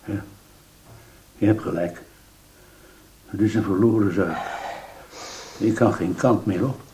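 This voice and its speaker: An actor playing an embittered, hot-tempered rebel in a radio drama, volatile and emphatic. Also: male voice, on the low side, slow, a little hiss or hum. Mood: wary, calculating. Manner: volatile, emphatic, swinging from shouts to mutters. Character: embittered rebel man, locked-up accuser, hot-tempered, easily bought. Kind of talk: Dutch radio drama acting.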